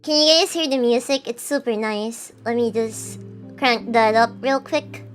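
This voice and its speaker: High-pitched young woman streaming, chatty and jokey with chat, soft music behind her. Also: unhurried. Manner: chatty, answers chat, self-mocking. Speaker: VTuber streamer. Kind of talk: casual livestream chat. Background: faint background music.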